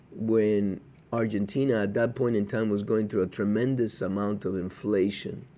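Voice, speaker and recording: A man from Cuba co-hosting a podcast, talking in a measured, cautionary way with frequent hesitations. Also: fairly low pitch, warm tone. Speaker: podcast co-host. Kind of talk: casual podcast chat.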